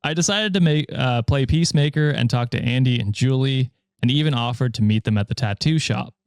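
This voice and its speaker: A man's voice: medium pitch, brisk, no room sound. Male podcast host, american, reading stories aloud, dry close sound, laughs easily. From United States. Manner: laughs often, relaxed storytelling with fillers. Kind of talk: reading Reddit stories aloud in casual chat.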